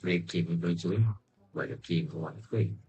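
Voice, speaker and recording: A man giving casual gameplay commentary in a halting, mumbled way. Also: low voice, a little mellow, dull audio, faint music behind. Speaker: game streamer.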